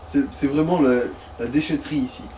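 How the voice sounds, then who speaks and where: A man's voice: mid-range voice, fairly even intonation, a little fast, mellow. A man giving casual, crude and mocking commentary on a video game, recorded at some distance from the mic with faint noise. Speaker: let's play co-commentator. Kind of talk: casual joking gameplay commentary.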